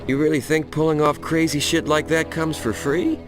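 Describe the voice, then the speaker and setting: A man's voice: fairly high pitch, little pitch movement, normal speed, close to an everyday voice. An actor playing a cynical, cocky young male assassin who narrates a video game in a crude, sardonic way, speaking straight to the listener. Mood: boastful, wry. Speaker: narrator and protagonist. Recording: loud music over the voice.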